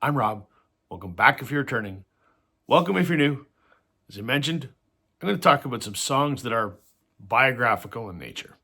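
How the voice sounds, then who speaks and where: Hoarse man with a bright voice. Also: mid-range voice, lively intonation, normal speed, long pauses. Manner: conversational storytelling with frequent fillers. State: hoarse from a cold. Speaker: host of a vinyl record channel. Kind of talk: casual talk to camera.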